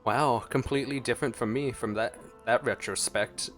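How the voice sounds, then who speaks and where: A man's voice: mid-range voice, fairly even intonation, moderate pace. A man giving casual gameplay reaction commentary as a streamer. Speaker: game streamer. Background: faint game music.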